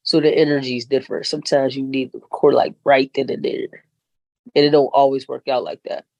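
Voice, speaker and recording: A woman's voice: rumbling low, steady tone, brisk, dark tone, no echo, dull audio. A woman rapper talking as a guest in a casual podcast interview, laid-back and slangy, often saying "you know what I'm saying".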